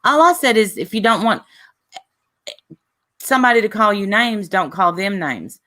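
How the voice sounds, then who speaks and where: A woman's voice: mid-range voice, expressive, normal speed, bright, forceful tone. A woman hosting a live stream panel, talking bluntly and cutting in to argue.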